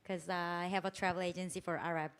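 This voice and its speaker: A woman with a Korean accent, a native Korean speaker answering panel questions as a guest creator, halting and full of fillers. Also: fairly low pitch, little pitch movement, fairly bright tone, clear, resonant tone.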